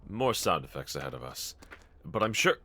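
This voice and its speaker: A man performs a gallant young demon slayer with a deep, bright-edged voice. His delivery is earnest and formal yet tender, with expressive rises and falls as he comforts a companion in an anime audio roleplay. Background ambience is clearly audible. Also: normal speed, a little hiss or hum, heavily acted. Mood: gentle, reflective. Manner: gentle, reassuring, earnest, formal phrasing. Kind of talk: anime audio roleplay acting, speaking to the listener.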